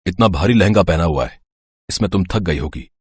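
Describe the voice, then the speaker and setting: Man playing a low-voiced, soothing young suitor. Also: lively intonation, fairly quick, husky, no echo. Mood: coaxing. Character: young man, gentle sweet-talking suitor hiding a trap. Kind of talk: dramatised Hindi moral story.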